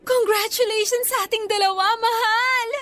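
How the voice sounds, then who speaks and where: Sweet, high-voiced young girlfriend. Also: steady tone, normal speed, fairly bright tone, no room sound. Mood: surprised, happy. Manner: tender, effusive, affectionate. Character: sweet, devoted young girlfriend, newly graduated student. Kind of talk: Tagalog radio romance drama acting. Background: faint music.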